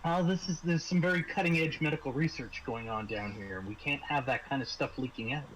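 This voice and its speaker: A man's voice: mid-range voice, fairly quick, a crowd in the background, natural voice, rough recording. A man playing an evasive, nervously helpful male mine liaison in a tabletop game, stammering and repeating himself.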